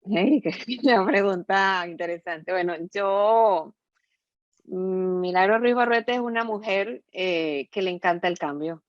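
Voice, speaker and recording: A woman's voice: medium pitch, a little slow, no echo, dull audio. A middle-aged woman with a Venezuelan accent giving conversational interview answers over an online call, talkative and often adding little tag questions. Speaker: podcast guest, entrepreneur and business consultant. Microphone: remote online call.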